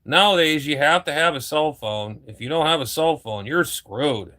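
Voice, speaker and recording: A man's voice: on the high side, lively intonation, normal speed, bright, forceful tone. A man in his fifties, a ham radio operator chatting casually on air, rambling and repeating himself, with casual swearing. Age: 50s.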